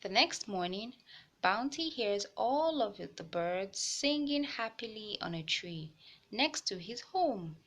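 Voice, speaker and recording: A woman hosting a children's read-aloud, narrating a storybook with deliberate phrasing for young listeners. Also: mid-range voice, animated, a bit bright.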